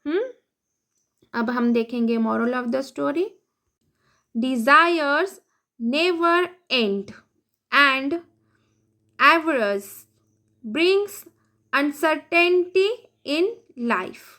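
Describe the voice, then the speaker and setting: Indian woman teaching english, reads super slow word by word with hindi meanings, high voice. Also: pushed and bright. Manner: reads word by word, translating each. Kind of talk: slow reading lesson with Hindi translation.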